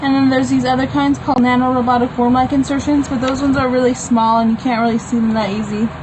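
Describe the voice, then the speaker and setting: Woman w/ highish voice reading her homemade thing out loud, unpolished, explains as she goes, noisy background. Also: flat delivery, fast talker. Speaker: comic book author reading own work. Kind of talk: informal read-aloud with explanatory asides.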